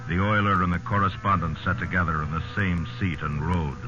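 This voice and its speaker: A man acting as the grave, measured narrator of a sea survival tale in a 1950s radio drama. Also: low-pitched, fairly even intonation, natural voice. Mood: solemn. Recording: muffled old radio recording, loud music behind.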